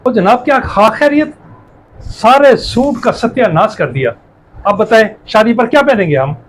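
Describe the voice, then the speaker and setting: A hot-tempered, scheming father acted by a man in a moral drama. His high voice blusters and brushes others off. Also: animated, normal speed, a little hiss or hum, rough recording. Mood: indignant bluster. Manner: blustering, dismissive. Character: cunning, hot-tempered father and dishonest customer. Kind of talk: moral story drama acting.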